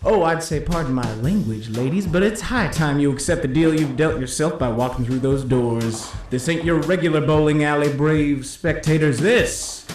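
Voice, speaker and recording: An actor playing a slick, cheeky 1950s disc jockey who calls a bowling game with showy hepcat patter and drawn-out flourishes. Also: male voice, a little high, a little mellow, lightly acted. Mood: showy, teasing. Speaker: play-by-play announcer. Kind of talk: 1950s radio-style sports commentary. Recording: roomy echo, music underneath.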